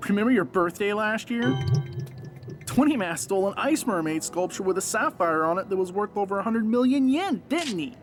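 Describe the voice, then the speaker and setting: Woman playing a lively, cheeky anime youngster. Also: medium pitch, brisk, music in the background, lightly acted. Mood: sardonic. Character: cheeky, opinionated young mystery fan in anime. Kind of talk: anime dub acting.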